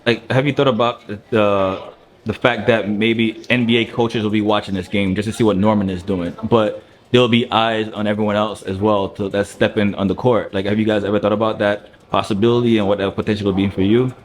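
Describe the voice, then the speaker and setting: Male reporter, low pitch, relaxed questioning. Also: fairly quick. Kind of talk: asking press questions.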